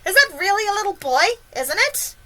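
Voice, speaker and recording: A woman voicing a panicky, melodramatic male fire chief who yells, in a storybook tale. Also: extremely high pitch, very animated, measured pace, pitched above the performer's own voice, full character voice. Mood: astonished. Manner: yelling, melodramatic.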